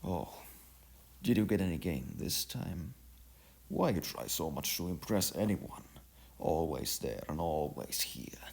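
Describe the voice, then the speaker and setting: A man's voice: deep voice, big pitch swings, a little slow, vocal fry, darkish tone, slightly rough, heavily acted. A man voicing a nagging, worried old village mother in a story, reproachful and complaining. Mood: scolding. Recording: music behind the voice.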